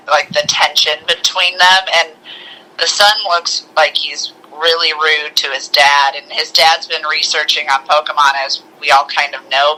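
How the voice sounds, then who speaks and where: A film podcast co-host chatting casually, retelling scenes in an animated, slangy way and acting out the dialogue. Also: medium pitch, poor audio. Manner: acts out quoted dialogue with excited slang. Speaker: podcast co-host reviewing films. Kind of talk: casual chat, animated recapping.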